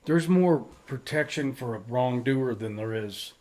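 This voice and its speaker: A man co-hosting a podcast in casual chat, speaking haltingly, repeating words and readily agreeing. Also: mid-range voice, animated, darkish tone.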